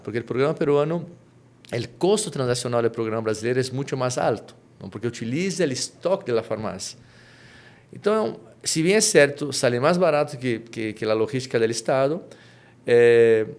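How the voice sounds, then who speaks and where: A middle-aged man from Brazil with a Lima Spanish accent and Portuguese roots, explaining his company's work as an interview guest, often tagging his points with a quick question and restarting phrases. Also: medium pitch, a little mellow. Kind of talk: conversational interview.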